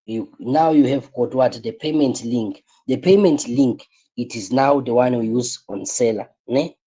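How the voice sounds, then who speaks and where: A man's voice: mid-range voice, unhurried, warm tone, breathy edge. A tired man, coaching a live online class and repeating his points with rhetorical questions. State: tired, voice strained.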